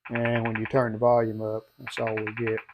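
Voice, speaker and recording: A man's voice: lowish voice, monotone, normal speed, highs cut off, noisy background. A middle-aged man giving unscripted how-to commentary at a workbench in a plain, folksy, matter-of-fact way. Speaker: hobbyist repair vlogger. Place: indoor home workshop at a workbench.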